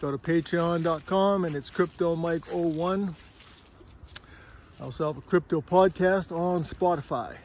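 A man's voice: fairly high pitch, measured pace, a little mellow. A middle-aged man vlogging on a windy forest trail, filming himself with a phone at arm's length, a little out of breath and chatty. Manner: chatty, rambling, keeps asking "all right?". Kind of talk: casual walking vlog.

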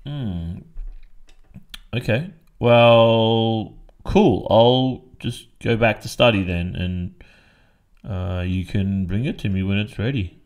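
Guy playing a tired aussie student boyfriend in an audio roleplay, creaky low voice, stressed but sweet, mumbles to himself. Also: takes it slowly. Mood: grateful, gentle. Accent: Australian. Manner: drowsy, muttering to himself with sighs and hums. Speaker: roleplay voice performer.

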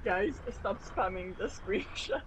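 A woman's voice: mid-range voice, expressive, takes it slowly, heavy background noise. A woman laughing and joking in casual group voice chat while gaming. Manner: laughing, playful. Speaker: gamer in voice chat.

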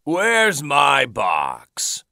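A man's voice: mid-range voice, huge pitch swings, very slow, no echo, studio quality, full character voice. A man voicing a big angry giant, bellowing and demanding, in a children's language-lesson cartoon. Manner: loud, demanding.

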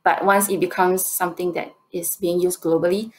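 Young female contestant, Singaporean accent. Slightly low voice, hesitant, thinking through answers over a video call. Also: flat delivery, normal speed, a little mellow. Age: 20s. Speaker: competition presenter answering judges' questions. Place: indoor room, on a video call.

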